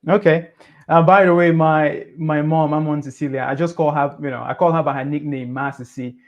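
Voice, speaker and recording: A man, a podcast guest, telling his life story in a casual, animated way, pushing his voice up on the points he stresses. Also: a little high, a little fast, muffled recording. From Cameroon.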